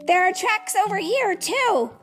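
Storybook young rabbit, male, bold and friendly, pitched well up and calling out eagerly; performed by a woman. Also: sing-song, unhurried, creaky, fairly bright tone, faint music behind, heavily acted.

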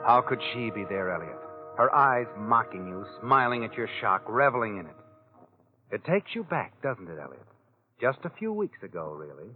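A sardonic male storyteller from a 1940s radio crime show. His pitch swings widely as he needles the listener in second person. The sound is thin and old, with music behind him. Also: very animated, normal speed. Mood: sardonic, taunting. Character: sardonic all-knowing male narrator of crime tales. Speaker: narrator. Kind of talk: 1940s radio drama narration. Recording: tinny vintage radio recording with music behind.

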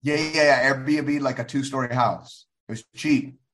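A man's voice: mid-range voice, fairly even intonation, unhurried, a bit bright, slight background noise. A man chatting casually as a podcast guest, agreeable and informal in his talk. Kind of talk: casual chat.

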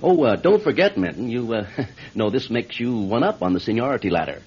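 An actor playing a cynical, matter-of-fact desk official in a radio drama, brusque and offhand, with a husky voice. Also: male voice, medium pitch, big pitch swings, fairly quick, a little mellow. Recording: dull old radio recording.

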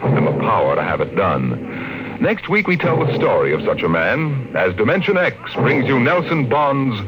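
An American man narrating a 1950s radio drama in a grave, deliberate, dramatic manner. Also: medium pitch, big pitch swings, heavy background noise. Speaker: host and narrator. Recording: vintage radio broadcast recording.

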